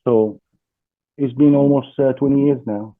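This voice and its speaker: A man from Egypt with an Egyptian accent, a guest in a casual interview, talking haltingly with many fillers over a video call. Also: medium pitch, steady tone, no room sound. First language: Arabic. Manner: hesitant, many fillers and restarts. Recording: dry tinny phone-like call audio.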